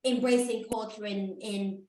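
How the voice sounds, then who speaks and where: A woman hosting a casual interview, pausing to search for her words. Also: mid-range voice, steady tone, darkish tone. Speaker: interview host. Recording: echoey room, distant from mic.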